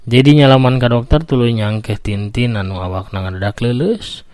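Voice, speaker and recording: A man's voice: mid-range voice, normal speed, darkish tone, dry recording. A man with a Sundanese accent narrating a dramatic story as a storyteller.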